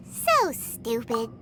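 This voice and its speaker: Little excitable game companion: childlike squeak, drawn-out words, sky-high pitch, loud music behind. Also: female voice, very noisy, heavily acted. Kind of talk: cartoonish game voice acting.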